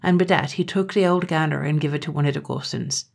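A woman's voice: low-pitched, quick pace, gravelly. A woman storyteller giving a scripted narration of a folk tale.

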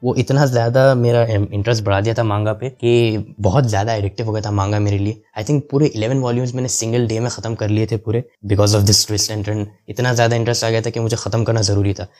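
A man's voice: lowish voice, steady tone, quick pace, hardly any pauses, a little mellow. A young man casually reviewing anime in a voice-over, chatty and full of fillers, switching into English now and then. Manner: chatty, mixes English into Hindi, frequent fillers. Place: voice-over over gameplay footage.

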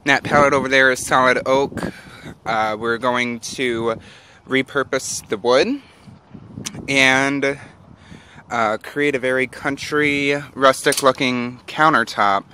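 Bright-toned man narrating a home video. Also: mid-range voice, slow, slight background noise. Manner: relaxed, lots of uh and um fillers. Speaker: vlogger filming a DIY build. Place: backyard, then inside a small wooden cabin.

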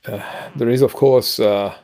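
A middle-aged man co-hosting a casual news discussion over a video call, speaking haltingly with frequent pauses. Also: mid-range voice, fairly even intonation, mellow. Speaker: podcast co-host. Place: home room, indoors.

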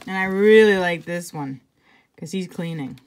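A woman reading a picture book aloud to children, conversationally, pausing for casual asides and check-in questions. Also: lowish voice. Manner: conversational, pointing things out and asking check-in questions. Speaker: intervention specialist reading to children.

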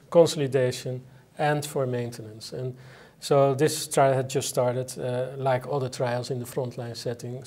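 A middle-aged man with a Dutch accent, speaking as a medical expert in a panel interview with measured, hesitant pauses. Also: mid-range voice, a little mellow. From Netherlands. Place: indoor conference interview set.

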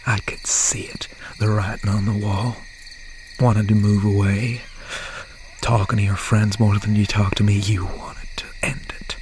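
A man playing an obsessed, bitter young serial killer boyfriend in an ASMR roleplay, speaking with breathy, half-whispered menace and pauses. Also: lowish voice, noisy background. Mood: wounded, obsessive. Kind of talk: ASMR roleplay, dramatic monologue. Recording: steady nighttime ambience over the voice. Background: crickets, insects and owl night sounds.

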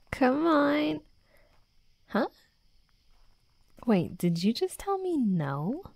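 A woman playing a hot-headed, flustered young female werewolf, a softening bully, in an intimate roleplay audio drama, speaking haltingly and defensively. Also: mid-range voice, dry recording, clean studio sound. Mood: playful, teasing. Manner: halting, defensive, teasing, often dropping to soft murmurs.

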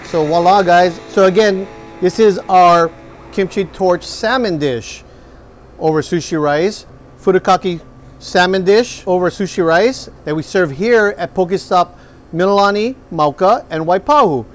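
Man, 40s, high-pitched, upbeat chef, restaurant kitchen, faint music behind. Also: a little slow. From Hawaii. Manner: upbeat, hypes the food to viewers. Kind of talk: cooking demonstration.

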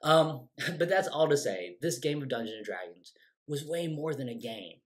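A playwright in his forties telling a story casually to camera from a room at home, animated and quick to act out his younger self. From United States. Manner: animated, gestures a lot, quotes his younger self with lively shifts in pitch. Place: home room with bookshelves and windows. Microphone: close webcam framing.

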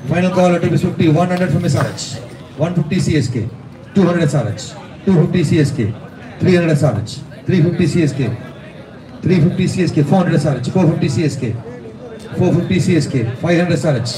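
Fast, echoey Tamil-accented auctioneer. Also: male voice, a little high. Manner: rapid rhythmic bid calling, switching to asides. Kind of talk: live auction calling. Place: outdoor rooftop event at night. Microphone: handheld mic through speakers, filmed from far. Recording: echoey PA, distant, crowd noise. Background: crowd chatter.

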